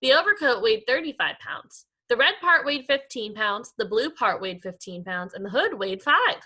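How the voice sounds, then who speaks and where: A woman teacher reading aloud to young pupils in an expressive, animated storytelling style. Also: fairly high pitch, moderate pace, husky. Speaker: elementary school teacher. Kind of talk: animated children's read-aloud.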